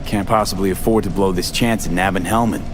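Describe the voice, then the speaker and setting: A man's voice: low voice, steady tone, a little fast, natural voice. A man playing a blunt, wary, street-smart mercenary in a video game, with clipped, dry delivery. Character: blunt, wary male mercenary in a cyberpunk city. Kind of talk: video game cutscene acting. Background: music.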